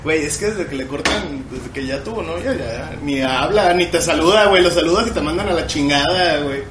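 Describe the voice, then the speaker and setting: Higher-voiced Mexican man, late twenties, echoey. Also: brisk, crowd noise. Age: late 20s. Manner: slangy, crude, teasing. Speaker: podcast co-host. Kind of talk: casual banter chat. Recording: roomy echo, music behind.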